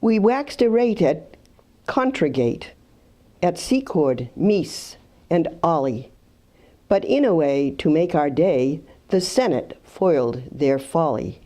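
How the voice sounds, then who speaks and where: A woman's voice: low-pitched, very animated, slow pace, warm tone. A woman reading rhyming verse aloud. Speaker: reader of poems.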